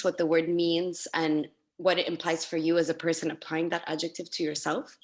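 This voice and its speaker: A woman's voice: on the low side, fairly bright tone. A woman speaking thoughtfully and reflectively as a panelist in an online discussion. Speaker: artist panelist. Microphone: video call.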